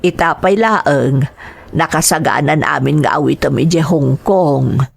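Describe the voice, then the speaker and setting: A loving, anxious mother in an Ilocano audio drama, played by a woman. Her voice sits fairly low with a creaky edge, and the recording is close and dry. She speaks with an Ilocano Filipino accent. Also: a little slow, dry recording, heavily acted. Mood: resigned, sorrowful. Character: worried, loving mother of a daughter going abroad.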